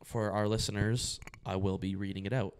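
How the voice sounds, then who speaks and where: A man's voice: lowish voice, little pitch movement, normal speed, clean tone. A young man co-hosting a casual podcast, rambling with lots of fillers and restarts. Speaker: podcast co-host.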